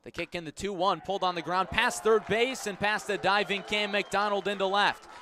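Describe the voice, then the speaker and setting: A man doing live radio baseball play-by-play as the announcer, measured between pitches and lifting into excited calls on the action. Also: a little hiss or hum. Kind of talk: live radio sports commentary.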